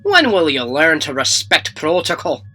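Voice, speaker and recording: A performer playing a fussy artificial-intelligence sidekick in a cartoon. The voice is crisp-edged and bright, swinging up and down as it lectures. Music runs faintly underneath. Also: medium pitch, huge pitch swings, moderate pace. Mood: lecturing. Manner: precise, lecturing, technical. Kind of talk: comic dub voice acting.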